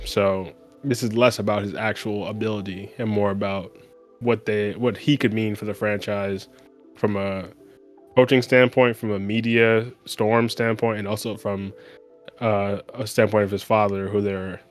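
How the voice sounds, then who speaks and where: A man hosting a podcast, talking through sports news in a casual, conversational and explanatory way with emphatic repetition. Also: lowish voice. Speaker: podcast host.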